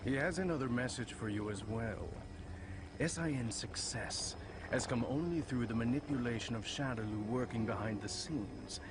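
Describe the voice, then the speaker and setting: Cartoon villain's messenger played by a man, mid-pitched, smug and menacing, loud noise behind. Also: normal speed, close to an everyday voice. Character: menacing, smug male henchman messenger of evil lord. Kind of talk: animated film dub acting.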